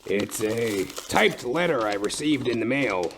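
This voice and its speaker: An actor playing a melodramatic, lovesick older timber tycoon with a pompous, theatrical way of talking in a comedic detective audio play. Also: male voice, mid-range voice, lively intonation, a little slow, noisy background. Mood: grave, mournful. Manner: florid, theatrical, pompous storytelling.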